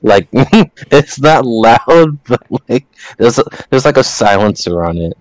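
A young man co-hosting a casual podcast, tossing off dry, deadpan one-liners. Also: medium pitch, slow pace, dry recording, poor audio. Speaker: podcast co-host. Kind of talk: casual chat among friends.

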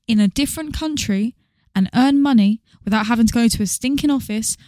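A young British woman speaks at a brisk clip in a medium-pitched voice, recorded very dry. She chats casually as a podcast co-host, animated and full of slang. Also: no echo.

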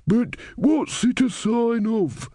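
A man doing a droning, pedantic old man, pitched up. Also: animated, slow, warm tone, no echo, studio quality, exaggerated voice. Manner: boring, unstoppable droning. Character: opinionated, pedantic elderly man in a crowd.

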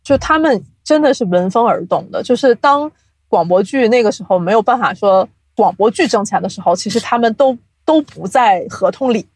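A woman with a fairly high voice talks as a guest on a casual interview show. She explains the audio drama business eagerly and conversationally, prone to chuckling. The sound is very dry and close. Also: brisk, no echo. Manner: animated explainer, often breaks into chuckles. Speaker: guest, audio drama producer and company CEO.

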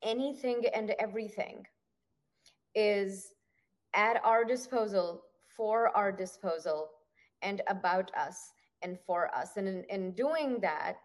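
A woman's voice: medium pitch, takes it slowly. Reflective woman host asking winding questions. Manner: reflective, winding questions with many "you know"s. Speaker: podcast host, interviewer. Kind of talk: interview questions.

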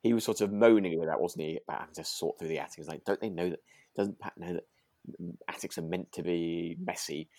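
A man's voice: deep voice, fast talker, darkish tone, dry recording, clean studio sound. A man, chatting informally as a podcast co-host and often checking for agreement. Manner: informal, tag questions seeking agreement. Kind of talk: casual chat about a radio drama.

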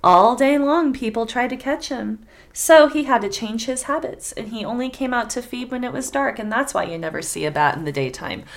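A woman's voice: fairly high pitch, animated, brisk, creaky. A young woman co-hosting a podcast, chatting casually and retelling folktales in a slangy, sweary way, repeating herself for emphasis. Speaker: podcast co-host.

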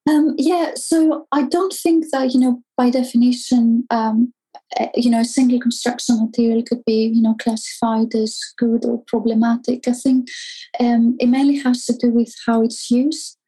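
A woman from Greece with a Greek accent, an academic guest explaining things thoughtfully and carefully, with hesitant pauses. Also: a little high, steady tone, mellow, husky, rough recording. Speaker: academic researcher, interview guest.

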